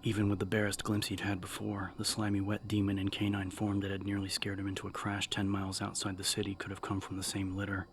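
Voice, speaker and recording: A man narrating dramatic fiction as an audiobook, with measured, suspenseful delivery. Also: sits low, completely flat delivery, a little hiss or hum.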